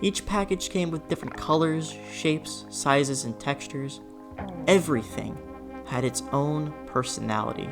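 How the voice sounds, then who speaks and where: A person delivering a scripted, opinionated video essay in an expressive, emphatic way with conversational asides. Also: mid-range voice, unhurried, vocal fry. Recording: music behind the voice. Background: background music.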